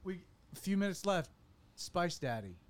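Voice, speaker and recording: A man's voice: sits high, very animated. A man hosting a casual chat, teasing his guests and affirming them as he asks questions. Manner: teasing, affirming, quick to laugh. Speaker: podcast host.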